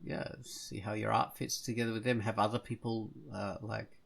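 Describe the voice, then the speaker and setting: A man's voice: on the low side, flat delivery, moderate pace, creaky, fairly bright tone. A man hosting a podcast, telling stories in a casual, rambling, hesitant way. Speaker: podcast host.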